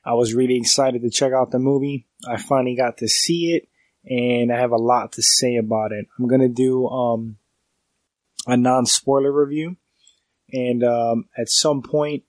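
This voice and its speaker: Stuffy guy with a cold rambling about a movie on his podcast, pretty flat tone, dry close sound. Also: mid-range voice, no room sound. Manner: rambling, many fillers and restarts. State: congested from a cold. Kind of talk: casual solo review podcast.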